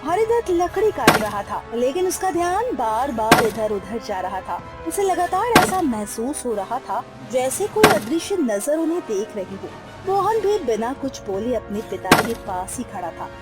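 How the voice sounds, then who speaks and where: Lady narrating a dramatic story, high animated voice, music clearly behind her. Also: unhurried.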